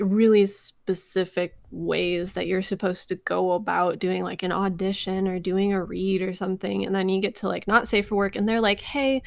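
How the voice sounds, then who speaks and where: A young woman with noticeable vocal fry and a mid-range pitch, recorded close and dry. She talks informally and in a giggly, animated way, like a guest in a relaxed interview. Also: no room sound. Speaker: voice actor guest. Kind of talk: casual interview chat.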